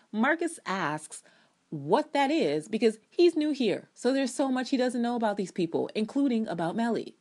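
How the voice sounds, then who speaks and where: A woman's voice: mid-range voice, a little mellow. A woman reviewer giving an opinionated, sardonic and theatrical reading of her own written commentary, with casual asides. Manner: emphatic, theatrical delivery. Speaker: TV drama reviewer.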